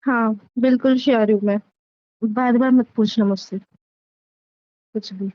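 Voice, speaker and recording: A woman's voice: a little high, fairly even intonation, takes it slowly, dry recording, highs cut off. A woman playing a hurt, betrayed young wife in a naturalistic relationship drama, curt and insistent. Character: hurt, betrayed pregnant wife, stubborn and demanding. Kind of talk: naturalistic Hindi relationship audio drama, staged as a private conversation.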